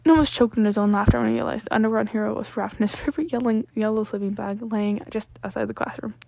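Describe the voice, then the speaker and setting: A woman's voice: fairly high pitch, fairly even intonation, fast talker, vocal fry, a little mellow, no echo. A woman reading scripted fiction aloud as an amateur story narrator.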